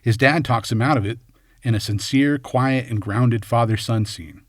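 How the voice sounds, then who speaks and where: A man's voice: medium pitch, normal speed. A man reading scripted film commentary as host, in a wry, tongue-in-cheek way. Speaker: video essay host.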